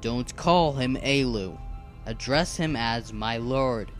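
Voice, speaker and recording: A man's voice: medium pitch, takes it slowly, close to an everyday voice. Man playing a level-headed fantasy assassin, music behind. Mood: stern. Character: level-headed, dutiful male assassin serving a dark fantasy lord. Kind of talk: amateur animated fantasy series acting.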